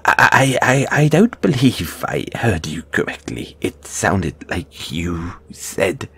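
A man's voice: low-pitched, sing-song, slow, fairly bright tone, slightly rough, full character voice. A man acting a squeamish, timid gargoyle handler in an audio roleplay, stammering and speaking with nervous, formal politeness. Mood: apologetic, nervous.

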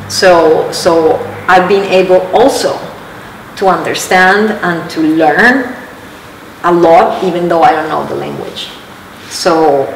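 Low-voiced Colombian woman in an echoey space. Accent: Colombian Spanish. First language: Spanish. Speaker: artist being interviewed. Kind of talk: reflective interview talk. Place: large high-ceilinged church exhibition space, indoors. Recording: echoey room, distant mic, clear background noise.

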